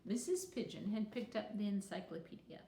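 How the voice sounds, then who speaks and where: A woman narrating a children's book aloud in an expressive read-aloud style. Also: mid-range voice, normal speed, distant mic. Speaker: storybook reader. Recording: roomy echo with faint noise.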